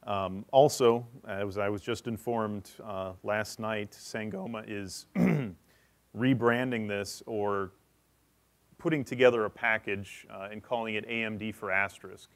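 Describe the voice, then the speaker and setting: A man's voice: fairly low pitch, measured pace. A man presenting a technical session at a conference, explaining in a matter-of-fact way.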